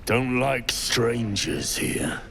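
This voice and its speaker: A man voicing a boastful, hostile dwarf guard in a video game, with rough, folksy speech. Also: medium pitch, expressive, drawn-out words, a bit bright, a little hiss or hum, exaggerated voice. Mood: menacing, boastful. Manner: rough, folksy, dropped consonants. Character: menacing, boastful male duergar guard, hostile to strangers. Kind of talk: video game character acting.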